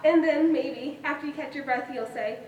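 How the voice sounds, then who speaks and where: A woman's voice: sits high, moderate pace, a little mellow, dull audio, close to an everyday voice. A young woman on stage playing a self-assured, fussy girl who boasts in an emphatic, childlike, matter-of-fact way. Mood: gushing, boastful. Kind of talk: stage monologue in a one-act play. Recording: roomy, distant stage recording with noise.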